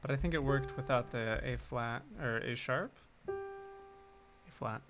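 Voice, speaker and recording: A man's voice: mid-range voice, slow. A man chatting casually on a livestream as he composes game music, thinking aloud in playful murmured asides. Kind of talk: casual livestream chat while composing at a keyboard. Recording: loud piano playing over the voice. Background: piano keyboard being played.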